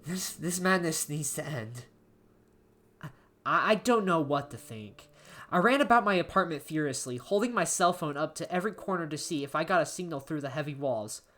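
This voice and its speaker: A woman playing an anxious, isolated diarist in a horror story, reading in a halting, emotive way with music behind her. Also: deep voice, animated, fairly bright tone. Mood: frantic, unravelling. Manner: halting, emotive, with stammered repeats. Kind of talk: dramatic first-person horror story narration. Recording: background music under voice.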